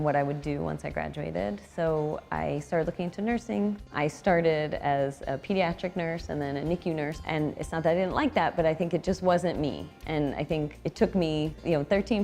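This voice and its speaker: A woman in her forties, a nurse, speaking candidly and relaxed in an interview. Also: low voice, gravelly. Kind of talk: interview testimony.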